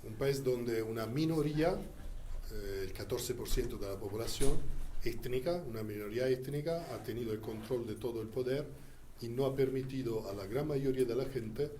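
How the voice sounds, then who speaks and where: A man who learned Spanish as a second language recounts his years as a Church diplomat in an interview, speaking deliberately with frequent pauses. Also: medium pitch, dark tone, a little hiss or hum. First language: not Spanish.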